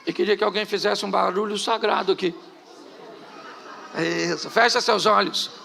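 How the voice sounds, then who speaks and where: Gravelly, bright-voiced Carioca pastor. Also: male voice, sits high, steady tone, moderate pace, a little hiss or hum. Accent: Carioca Portuguese. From Rio de Janeiro, Brazil. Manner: jokey storytelling, rhetorical questions to the crowd. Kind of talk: humorous live sermon. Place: church hall before a congregation.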